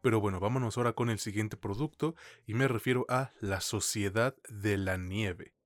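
A man with a Mexican accent reviews films as a podcast co-host. His voice is fairly low, and the sound is dry with little room around it. He talks casually and colloquially, with clear opinions. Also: moderate pace, no room sound, soft background music. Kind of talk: casual conversational film review.

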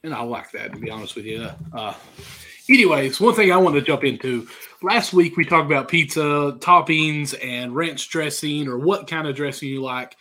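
A hoarse man leads a casual sports chat over a video call. His voice sits a bit high and sounds bright and tense. He talks in a chatty, easygoing way. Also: lively intonation, normal speed. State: hoarse from a sinus infection. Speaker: podcast host.

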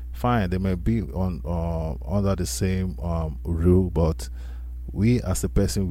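Low, mellow-voiced male storyteller. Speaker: featured storyteller and musician guest. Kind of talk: personal storytelling on a radio show.

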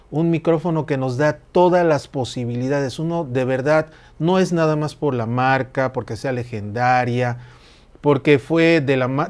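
A man's voice: mid-range voice, darkish tone. A man, hosting an interview and asking long, winding, friendly questions. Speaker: radio host interviewing a guest. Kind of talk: conversational interview.

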